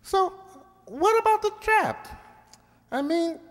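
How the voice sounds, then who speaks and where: A little talking mouse in a radio play, high and squeaky in pitch with wildly swooping intonation. He is matter-of-fact and peaceable, with a wisecracking streak. The sound has a long, hall-like reverb. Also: big pitch swings, takes it slowly, heavily acted. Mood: earnest. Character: small talking lab mouse, plainspoken, peaceable family male. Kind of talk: amateur radio drama acting.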